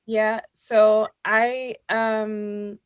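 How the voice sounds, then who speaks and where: A Scottish woman in her thirties, a dermatologist guest on a video call, friendly and quick to laugh. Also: mid-range voice, little pitch movement, clean tone, dry recording, studio quality. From Scotland. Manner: friendly, laughs easily, quick agreeing asides. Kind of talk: casual interview chat.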